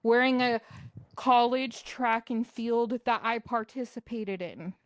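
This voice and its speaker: A young woman co-hosting a casual podcast chat, joking around with quick asides. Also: a little high, no room sound. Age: young adult. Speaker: podcast co-host.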